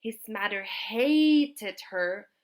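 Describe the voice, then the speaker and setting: A woman telling a fairytale in a halting, deliberate way, pausing between short groups of words. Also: medium pitch, expressive. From Austria. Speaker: storyteller. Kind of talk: slow fairytale storytelling.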